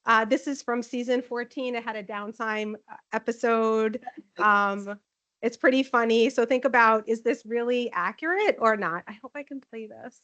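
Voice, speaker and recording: Loud, chatty woman presenting a talk. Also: on the high side, moderate pace, no room sound, highs cut off. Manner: friendly, chatty, frequent "um" fillers. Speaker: conference presenter, nursing informatics manager. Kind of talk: conference talk with slides. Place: conference room. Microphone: handheld or podium microphone.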